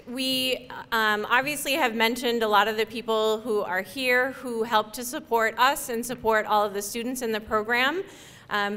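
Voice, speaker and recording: A woman on the program staff, announcing names at a ceremony in a friendly, informal way with filler pauses. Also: fairly high pitch, sharp tone. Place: event hall.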